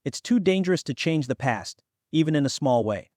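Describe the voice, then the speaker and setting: Cautious young demigod, voiced by a man: earnest, easily offended, fairly high male voice, fantasy audiobook. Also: animated, brisk, a little mellow, dry recording, studio quality, natural voice. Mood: alarmed refusal. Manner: earnest, protesting. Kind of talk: fantasy audiobook character acting.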